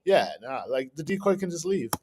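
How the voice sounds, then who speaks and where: A man's voice: fairly high pitch, expressive, a little fast, very noisy. A man hosting a livestream, giving casual, slangy reaction commentary, talking to chat and mimicking people. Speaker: livestream host.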